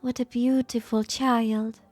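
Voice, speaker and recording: A woman playing a gentle, idealistic female scientist in a dramatic fan dub. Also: on the high side, flat delivery, takes it slowly, dry recording, faint music behind. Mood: gentle, wistful.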